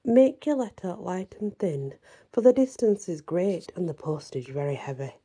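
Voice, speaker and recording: Female storyteller, very mellow and muted in tone, husky, reading a children's tale gently. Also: lowish voice, lively intonation, normal speed. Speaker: channel host and story reader.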